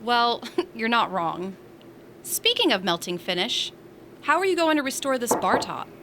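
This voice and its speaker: A woman's voice: a little high, big pitch swings, a little slow, noisy background. A woman playing a teasing, feisty space station resident in a science fiction audio drama, full of sassy banter.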